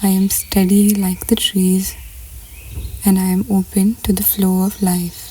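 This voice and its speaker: A woman leading a scripted guided meditation in a soft, breathy voice that often nears a whisper, unhurried and measured with pauses between short phrases. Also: mid-range voice, little pitch movement, slight background noise.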